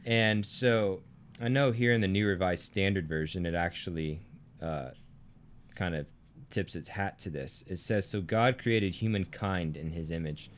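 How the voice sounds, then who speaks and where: American guy with a low voice teaching theology, conversational but deliberate, pauses for emphasis. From Arkansas, United States. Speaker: teacher and co-host of a theology series.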